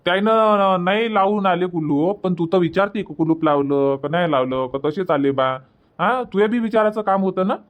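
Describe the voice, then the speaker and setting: Cartoon village husband, male performer, exasperated and insistent, pitched well up, Varhadi Marathi accent. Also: moderate pace, close to an everyday voice. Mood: reproachful. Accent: Varhadi Marathi from Vidarbha. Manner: insistent, repetitive, reproachful. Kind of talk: cartoon comedy dubbing.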